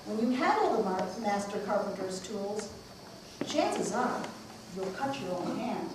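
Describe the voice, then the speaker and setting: A woman's voice: medium pitch, expressive, measured pace, natural voice. A woman playing a serene ancient sage who declaims cryptic wisdom in an amateur puppet show. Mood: serene, instructive. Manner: declaiming, oracular. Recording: echoey noisy room, far from mic.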